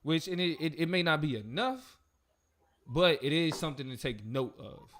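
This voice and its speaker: A man's voice: on the high side, lively intonation, normal speed, a bit bright, slight background noise. A man co-hosting a casual political chat, talking in a laid-back, slangy way with lots of fillers. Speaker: podcast co-host.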